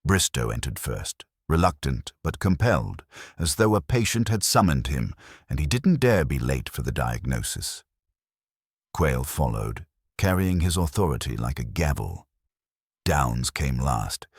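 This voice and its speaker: Man, audiobook narrator, detective fiction, extremely low pitch, husky, close dry sound, measured storytelling. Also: no echo. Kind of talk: scripted first-person detective story narration.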